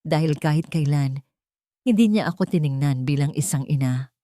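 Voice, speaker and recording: A woman's voice: low-pitched, moderate pace, dark tone, no echo, clean studio sound. A woman reading a dramatic first-person story as a scripted audiobook narration.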